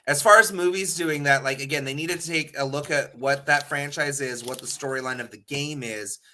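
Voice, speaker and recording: A man's voice: mid-range voice, moderate pace, sharp tone, a little hiss or hum. A man chatting casually and opinionatedly as a talk show panelist, rambling, emphatic and loose with swearing.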